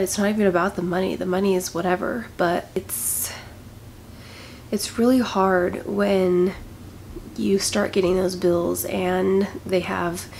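Young woman, nasal from a cold, gravelly, slow and halting, grieving, faint hiss. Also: medium pitch, a little mellow. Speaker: vlogger. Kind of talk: personal vlog, talking to camera. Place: indoors, small room with patterned backdrop. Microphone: camera in front, head-and-shoulders framing.

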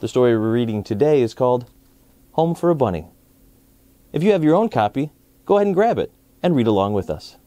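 An American man in his forties reading a storybook aloud to children, with clear enunciation and expressive phrasing. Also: medium pitch, fairly quick, no echo. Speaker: children's story time host.

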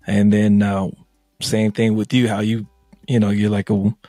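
A man's voice: fairly low pitch, level tone, a little slow, dark tone, no echo, faint music behind. A man hosting a podcast, laid-back and casual, asking questions at home on a studio mic over a video call. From United States, Army family. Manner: laid-back, many "you know" fillers. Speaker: podcast host. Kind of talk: casual interview chat. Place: home room, indoors. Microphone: studio mic with headset, video call.

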